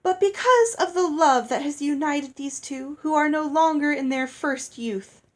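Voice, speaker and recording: Woman acting out a high, ringing, gushing theatrical lady. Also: unhurried. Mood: effusive, grand. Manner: declamatory, ringing delivery. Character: gushing, theatrical woman at a wedding, grandly effusive. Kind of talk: audiobook character voice.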